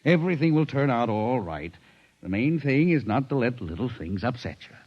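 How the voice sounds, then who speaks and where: A man playing a gruff impatient boss. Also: mid-range voice, very animated, normal speed, close to an everyday voice. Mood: dismissive. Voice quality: brusque, dismissive, blustering. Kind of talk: 1950s radio drama acting. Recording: dull old 1950s radio broadcast.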